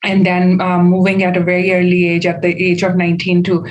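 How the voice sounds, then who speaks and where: A woman's voice: fairly low pitch, completely flat delivery, darkish tone, lo-fi sound, a little hiss or hum. Thoughtful middle-aged woman from Pakistan. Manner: thoughtful, many "uh" and "um" pauses. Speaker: community leader, guest. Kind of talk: interview answers, reflective.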